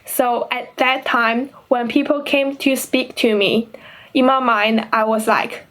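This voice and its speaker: A woman's voice: on the high side, fairly even intonation, a little slow, fairly bright tone, low-quality recording. A young woman from China with a Chinese accent, an international student giving an earnest, carefully paced assembly speech in her second language. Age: young adult. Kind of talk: prepared school assembly speech.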